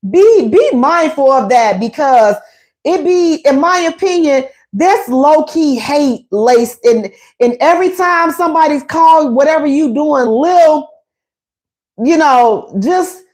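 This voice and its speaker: A woman's voice: high-pitched, lively intonation, slow pace, a little mellow. A woman, hosting a casual relationship advice talk, emphatic and conversational, repeating her points.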